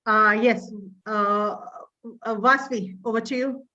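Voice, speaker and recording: A woman's voice: fairly high pitch, fairly even intonation, takes it slowly, sharp tone, dull audio. A woman from India hosting an online group call, hesitant with many fillers. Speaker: host.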